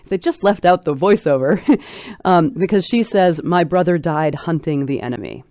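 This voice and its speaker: Woman, expert interview guest: emphatic, scholarly delivery, mid to low register, very dry recording. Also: moderate pace, dark tone, no room sound. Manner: articulate, emphatic, scholarly phrasing. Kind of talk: analytical, explanatory interview commentary.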